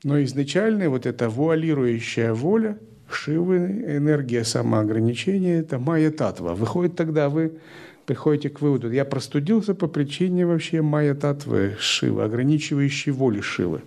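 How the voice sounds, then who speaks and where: A man giving a spiritual lecture, explaining step by step in a calm, methodical way. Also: fairly high pitch, moderate pace. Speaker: spiritual teacher.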